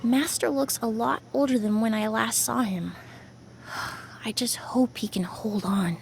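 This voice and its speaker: A woman playing a composed, thoughtful young perfumer in a video game, speaking in a measured, precise, calm way. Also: on the high side, vocal fry, background noise. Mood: concerned.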